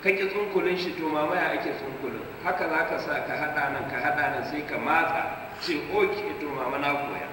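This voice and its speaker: A man's voice: fairly high pitch, brisk, background noise. A man giving a tafsir lecture in a mosque, explaining verses with everyday examples and quoting Arabic, recorded from far off in an echoey hall. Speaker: Quran tafsir teacher. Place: mosque hall, seated at a table. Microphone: handheld mic, filmed from far.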